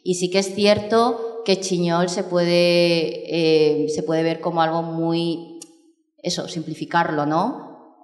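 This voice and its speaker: Woman filmmaker, thinks out loud, lots of "eh", echoey big room. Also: on the low side. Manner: reflective, many fillers and restarts. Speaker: documentary filmmaker. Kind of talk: thoughtful interview talk. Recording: echoey room with long reverb.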